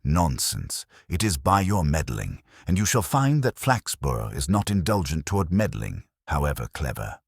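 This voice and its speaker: A man's voice: very deep voice, sing-song, moderate pace, hardly any pauses, husky, no echo, studio quality, heavily acted. A man voicing a pompous, indignant woman town councillor in a mystery story, with a sniffy manner.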